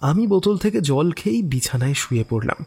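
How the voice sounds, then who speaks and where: A man narrating a first-person horror story in an expressive, theatrical way, with musing asides and exclamations. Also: fairly high pitch, brisk, creaky, slight background noise. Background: background music.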